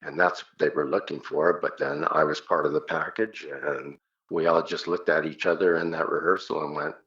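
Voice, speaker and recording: A man's voice: very deep voice, steady tone, normal speed, fairly bright tone. A man reminiscing in casual conversation as an interview guest, telling stories and acting out short quotes, on a dull, muffled recording. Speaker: interview guest, rock singer.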